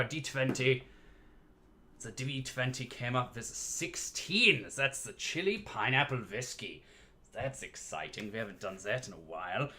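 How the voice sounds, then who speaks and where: Bright-voiced man hosting a playful livestream. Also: mid-range voice, slight background noise. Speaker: livestream host mixing cocktails as "dungeon barkeep". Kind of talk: casual livestream chat with playful showman patter.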